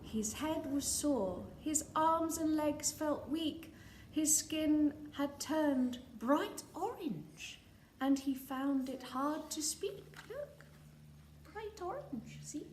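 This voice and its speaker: Very animated, high-voiced woman reading a storybook. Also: slow. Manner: expressive, dramatic, talks to young listeners. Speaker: storyteller reading a children's picture book. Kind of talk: animated read-aloud to a live audience. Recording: roomy, echoing sound with background noise.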